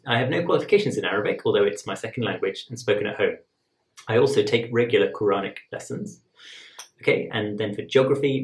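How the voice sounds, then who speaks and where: A man, an admissions tutor calmly explaining to camera in a measured, conversational way. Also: fairly low pitch. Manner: measured, conversational, rhetorical asides. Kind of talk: explaining to camera, advice lesson. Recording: roomy echo.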